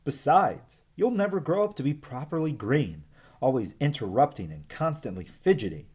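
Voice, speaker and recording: A man's voice: mid-range voice, sing-song, normal speed, darkish tone, husky, pitched above the performer's own voice. A man voicing a nervous, irritable older snake from a storybook, in a complaining manner. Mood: irritated, complaining.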